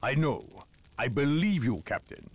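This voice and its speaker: An actor playing a stern inquisitor who speaks in a measured, commanding way in a game cutscene. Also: male voice, medium pitch, very animated, husky, full character voice. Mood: grave. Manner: solemn, measured, authoritative. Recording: game audio with music behind.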